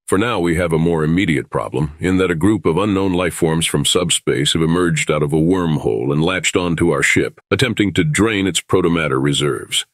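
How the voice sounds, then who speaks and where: A man acting in an audio drama as a calm, principled starship captain, measured and authoritative, with rumble behind him. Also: no room sound, clean studio sound. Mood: composed, formal. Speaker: lead actor playing a starship captain.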